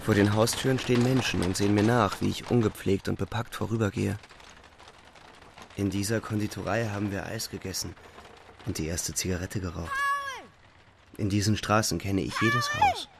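A weary young soldier tells of home leave in a low, gravelly voice. He is brooding and restrained, speaking in halting phrases like an inner monologue, with music behind him in a radio drama. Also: sing-song, noisy background. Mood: wistful. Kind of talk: literary radio play narration, inner monologue.

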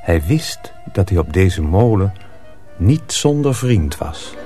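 A man narrating a radio drama in a measured, literary storytelling manner. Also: sits low, sing-song, mellow, faint music behind, heavily acted.

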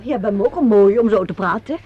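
A woman's voice: medium pitch, quick pace, slightly rough, close to an everyday voice. An actress playing a self-possessed, teasing woman of the theatre in a radio drama, bantering and flippant. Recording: dull lo-fi radio play recording.

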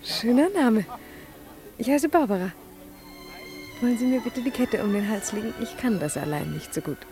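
Coquettish young society girl, really high voice, old 50s radio drama with music behind. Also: expressive, moderate pace, mellow. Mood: coy. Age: young adult. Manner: coquettish, playful. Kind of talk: 1950s German radio play acting.

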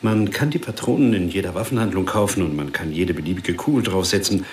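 An actor playing a precise, matter-of-fact ballistics examiner explaining evidence in a radio crime drama. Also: male voice, sits low, fast, a little mellow, recorded from a distance, close to an everyday voice. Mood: dryly expert. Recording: echoey room, off the mic.

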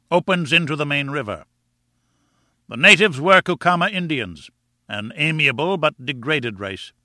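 A man voicing an arrogant, domineering professor in an audiobook, booming and lecturing with insolent condescension. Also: bright, forceful tone, dry recording, studio quality. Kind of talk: audiobook character dialogue.

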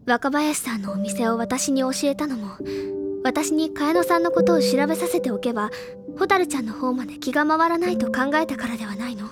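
A woman acting a bookish, earnest teenage schoolgirl sleuth in an audio drama, insistent and explaining things deliberately in feminine phrasing. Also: high voice, natural voice. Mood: accusing, probing. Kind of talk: Japanese audio drama acting, accusatory monologue. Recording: loud music under the voice.

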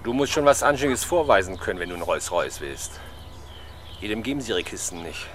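An actor playing a dry, wry police inspector who gives clipped orders in a 1970s German radio crime drama. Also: male voice, on the low side, lively intonation, a little fast, fairly bright tone. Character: wry male police inspector, brisk and commanding. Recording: noisy radio drama scene, street ambience.

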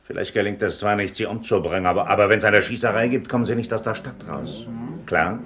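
Male actor as stolid strongman, loyal, low voice, sharp forceful tone, plain-spoken, firm, radio play. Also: fairly even intonation, fast, close to an everyday voice. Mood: stubborn. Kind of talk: 1970s German radio play acting.

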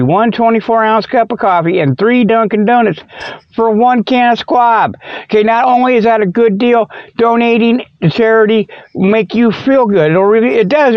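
High pitched guy rambling and joking like a folksy radio host, muffled dry lo-fi sound. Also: dry recording. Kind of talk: rambling comic monologue. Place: inside a truck. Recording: dry, dull lo-fi audio.

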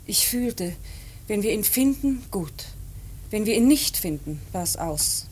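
An actress playing an earnest, troubled young woman in grief, halting and intense, in a German radio play. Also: mid-range voice, animated, darkish tone, slight background noise. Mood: anguished. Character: earnest, troubled grieving daughter and sister.

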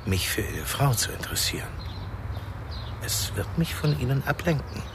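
A man's voice: medium pitch, very animated, normal speed, noisy background. An actor playing a sly, persistent man fishing for information in a radio crime drama, taunting and probing. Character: sly, needling, persistent male information hunter. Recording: busy chatter behind the voice. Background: crowd chatter.

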